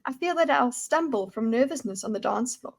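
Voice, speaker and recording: Woman playing a nervous, high-voiced young noblewoman. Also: animated, a little fast, creaky. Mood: demure, nervous. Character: nervous young noblewoman at her first ball, polite. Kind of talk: acted reading of a fantasy novel.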